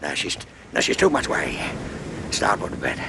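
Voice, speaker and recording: Sly menacing hurt pirate, creaky growly voice, old sailor talk, really up and down, radio play with music behind. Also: male voice, low voice, sing-song, moderate pace, background noise, full character voice. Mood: gruff, instructing. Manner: rough old-fashioned sailor talk, taunting. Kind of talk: radio drama acting.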